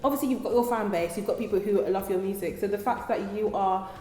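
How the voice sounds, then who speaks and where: A woman's voice: medium pitch, fast talker, a little mellow. Woman host cheering her guest on, lots of yeah and of course, echoey room. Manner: encouraging, affirming interjections. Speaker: interviewer, host. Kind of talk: casual interview chat.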